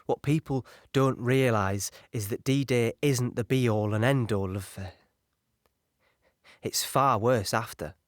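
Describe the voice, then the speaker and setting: A man playing a weary young infantryman, recalling battle in a halting, conversational audio-play monologue. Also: mid-range voice, dry recording, clean studio sound, natural voice.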